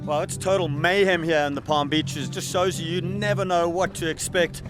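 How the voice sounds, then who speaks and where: Austrian-accented man, fairly high voice. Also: fairly even intonation, over music. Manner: enthusiastic, quick exclamations. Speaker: fishing show host. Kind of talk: excited on-camera fishing commentary. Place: on a boat offshore, outdoors.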